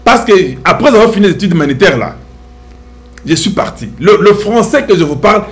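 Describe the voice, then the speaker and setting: A man's voice: high voice, expressive, brisk, fairly bright tone, faint music behind. A middle-aged man with a Congolese French accent giving impassioned, preachy social commentary to his viewers, full of rhetorical questions.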